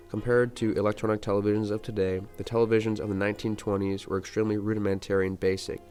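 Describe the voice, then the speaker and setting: A man's voice: lowish voice, darkish tone. A man reading scripted narration as a reporter on a 1920s-style radio program.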